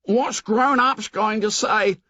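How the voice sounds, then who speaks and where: A man voicing a fussy, anxious schoolboy who pleads in a squeaky, worried voice. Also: slow pace, bright, forceful tone, no room sound, heavily acted. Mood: pleading. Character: anxious, fussy plump schoolboy, bossed and scared.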